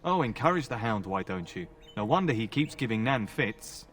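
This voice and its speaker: A wry, lively young knight. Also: male voice, lowish voice, normal speed, distant mic. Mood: amused. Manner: wry, placating. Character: wry, easygoing young castle knight, a man. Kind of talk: fantasy video game voice acting.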